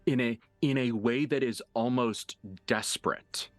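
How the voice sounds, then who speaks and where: A man's voice: mid-range voice, fairly even intonation, a little slow, no room sound, faint music behind. A man acting as game master, improvising narration and table talk in a live roleplaying game.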